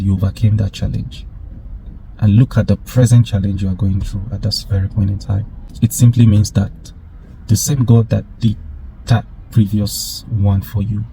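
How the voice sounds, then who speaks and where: A man gives an earnest motivational talk, voicing imagined doubts along the way. His voice sits low and sounds warm and soft. A faint hiss of room noise is heard behind him. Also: rough recording. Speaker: motivational speaker. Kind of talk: faith-based motivational talk.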